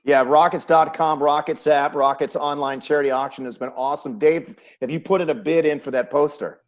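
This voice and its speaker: A man's voice: a little high, fairly even intonation, a little fast. A man hosting a casual sports chat, putting questions to guests. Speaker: host, sports announcer.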